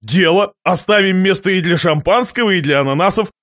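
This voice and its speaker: A man's voice: high voice, brisk, a bit bright, no echo, clean studio sound. A man voicing a jovial, gluttonous guest in a satirical story, pompous and shameless, savouring and lecturing expansively. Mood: contented, greedy. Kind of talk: dramatised reading of a satirical short story.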